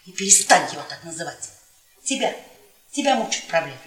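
A woman's voice: fairly low pitch, big pitch swings, fairly quick. A woman playing a brash, taunting middle-aged wife in a theatrical radio play. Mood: scornful. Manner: sarcastic, goading, theatrical. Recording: echoey stage sound, distant microphone.

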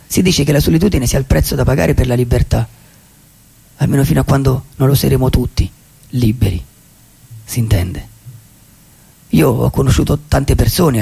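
A solitary wandering dreamer in a radio theatre monologue. His voice is husky and gravelly, at a middling pitch. He muses wistfully and philosophically, pausing and repeating phrases as he goes. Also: dark tone, low-quality recording. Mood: reflective. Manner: reflective, halting, with dramatic pauses and repeated phrases. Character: lonely, dreamy male vagabond, wistful and philosophical.

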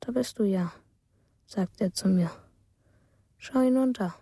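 A woman playing a quiet, dreamy narrator of a child's strange stories in a radio drama, husky, soft and unhurried. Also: medium pitch, no room sound, clean studio sound. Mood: dreamy, detached. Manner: simple, unhurried, matter-of-fact. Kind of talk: slow storytelling in a radio drama.

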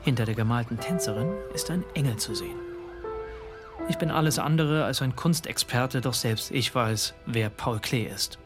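Reflective male narrator played by an actor, first-person radio drama. Mid-pitched, musing delivery, clear music underneath. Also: normal speed, natural voice. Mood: reflective, wondering. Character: reflective grandson, fascinated male narrator of a discovery. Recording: music behind the voice.

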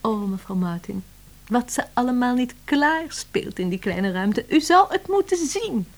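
A woman's voice: a little high, sing-song, unhurried. An actress playing a chatty, eager woman office assistant with a dreamy, enthusiastic streak in a Dutch radio play. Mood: dreamy, gushing.

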